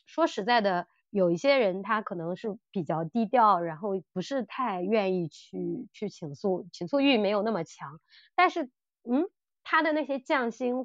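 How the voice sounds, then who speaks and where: Halting woman podcaster, slightly muffled sound. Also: mid-range voice, no room sound. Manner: halting, repeats words and restarts often. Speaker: podcast host. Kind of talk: casual chat reviewing audio dramas.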